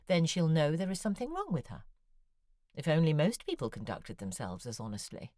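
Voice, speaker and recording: An actress playing a middle-aged romance novelist in an audio drama, speaking in a quiet, confiding way that sometimes drops to a whisper. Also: female voice, rumbling low, no echo, exaggerated voice. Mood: wry. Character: introspective middle-aged woman romance novelist, wry and lonely. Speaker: confessional monologue. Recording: dry close studio with faint music.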